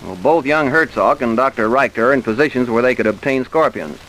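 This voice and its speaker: A man playing a thorough, insistent police inspector in an old radio drama, questioning people briskly. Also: medium pitch, lively intonation, fairly bright tone, poor audio. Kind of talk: 1940s radio drama acting. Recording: hissy old radio recording.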